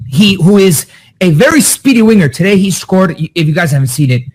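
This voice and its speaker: Animated man breaking down soccer players. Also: breathy edge. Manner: animated, quick pitch jumps when excited. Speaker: soccer analyst. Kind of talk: casual podcast chat.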